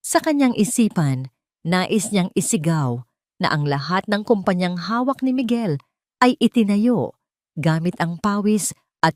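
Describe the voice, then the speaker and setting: A woman's voice: on the low side, a little mellow, no echo, clean studio sound. A woman narrator reading a scripted dramatic story.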